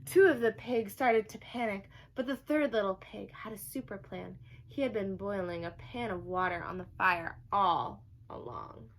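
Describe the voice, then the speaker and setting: A woman storyteller reading a storybook aloud to children, expressive, with asides and questions to her young listeners. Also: mid-range voice, moderate pace, hardly any pauses, slight background noise, poor audio.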